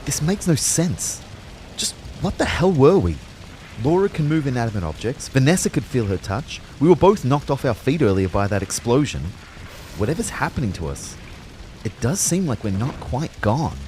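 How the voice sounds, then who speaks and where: An actor playing a bewildered male ghost, narrating his afterlife in a brooding, measured way for a scripted audio drama. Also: medium pitch, big pitch swings, a little mellow, a little hiss or hum, lightly acted. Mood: bewildered, urgent.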